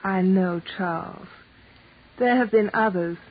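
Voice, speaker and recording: An actress playing a proper, wounded upper-class English wife in a 1940s radio drama, speaking formally and earnestly with restraint. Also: female voice, medium pitch, slow pace, husky. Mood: indignant. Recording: tinny, noisy 1940s radio recording.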